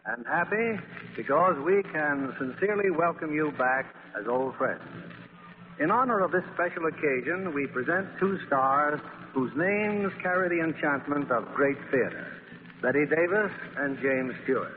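A man's voice: fairly high pitch, lively intonation, a little slow, narrow, tinny audio, a little hiss or hum. A man hosting and narrating a 1940s radio theater broadcast in a formal, scripted style. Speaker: radio producer and host. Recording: thin 1940s radio, music behind.

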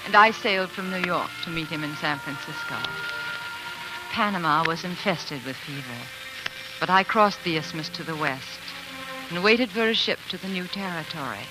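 An actress playing a devoted, resolute wife of an explorer in a 1940s radio drama, with earnest, refined delivery. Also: female voice, lowish voice, lively intonation, normal speed, natural voice, low-quality recording. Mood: earnest, proud narration. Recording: vintage 1940s radio broadcast, music underneath.

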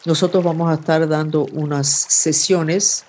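A man hosting a weekly horoscope reading, giving calm, intimate advice with vivid imagery, in Spanish that is his second language. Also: a little high, a little slow, slight background noise. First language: not Spanish. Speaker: astrologer host.

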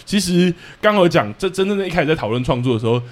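A man's voice: a little high, animated, racing. A man with a Taiwanese accent co-hosting a podcast in a casual, chatty interview style. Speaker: podcast co-host.

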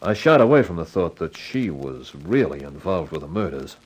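A cocky hard-boiled amateur sleuth from a vintage radio thriller. His voice is low and husky, swinging widely in pitch. He talks in wry, theatrical slang, as both narrator and player. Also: sing-song, normal speed, full character voice. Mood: wry, brooding. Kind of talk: 1950s radio detective drama, hard-boiled first-person narration. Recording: vintage 1950s radio recording.

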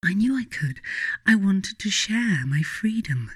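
A British woman reads scripted self-help narration as the author of the text. Her delivery is measured and deliberate, with pauses between phrases. The sound is dry, with hardly any room to it. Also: medium pitch, expressive, a bit bright, slightly rough, no room sound. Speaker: author reading own book.

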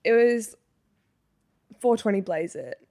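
Relaxed young woman, lower-sounding voice. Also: slow pace. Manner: relaxed, says her voice is surprisingly deep. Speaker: podcast co-host. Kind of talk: casual chat.

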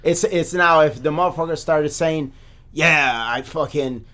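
A man co-hosting a podcast, talking in casual chat, animated and swearing, full of restarts. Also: on the high side, measured pace. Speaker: podcast co-host.

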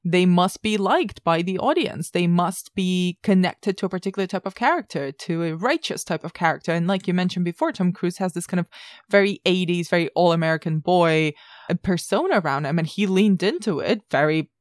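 A woman's voice: on the low side, a little fast, no room sound, clean studio sound. A woman co-hosting a podcast with considered, articulate film analysis, leaning on key words. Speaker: podcast co-host.

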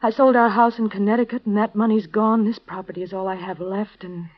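An actress playing a frightened, desperate wife in a 1950s radio drama, speaking in a breathless, stammering plea, on an old broadcast recording. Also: female voice, mid-range voice, steady tone, brisk, dull audio, natural voice. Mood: pleading. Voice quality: breathless, pleading, stammering delivery.

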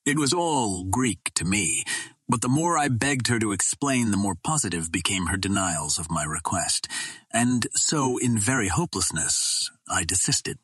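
A man reading an adventure novel aloud as first-person narration, in a measured, earnest literary manner. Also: fairly low pitch, lively intonation, fairly bright tone, slightly rough, dry recording. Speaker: audiobook reader.

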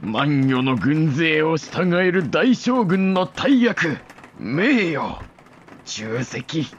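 A man acting an ailing, dutiful samurai father and clan head in a voice drama, grave and halting. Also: on the high side, big pitch swings, heavily acted. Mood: grave resolve. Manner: grave, halting, weighty delivery. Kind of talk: voice drama acting in period Japanese. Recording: muffled, with some background noise.

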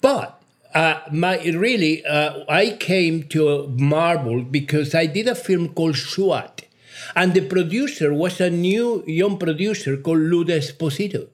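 Effusive older man, slightly high voice. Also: unhurried. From Mexico. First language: Spanish. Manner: effusive, emphatic, repeats words. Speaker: cinematographer, interview guest. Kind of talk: conversational interview answers.

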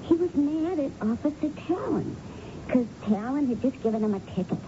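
A doubtful, nervous waitress in a vintage radio play. Her voice is fairly high and hesitant, on a dull old broadcast with clear noise. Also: animated, normal speed. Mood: agitated, hesitant. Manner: hesitant, second-guessing. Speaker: radio drama actor. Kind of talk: 1970s radio drama acting.

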